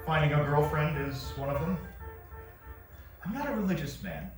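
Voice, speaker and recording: Actor as an exasperated writer, echoing theatre. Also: male voice, fairly high pitch, sing-song, normal speed, faint music behind. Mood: wry narration. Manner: wry, exclamatory, defensive. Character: earnest, sarcastic male fiction writer, exasperated by bad dates. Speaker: actor in a staged reading. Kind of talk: theatrical stage monologue and dialogue. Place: theatre stage. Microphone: far shaky audience camera. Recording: very echoey theatre, distant mic, faint noise.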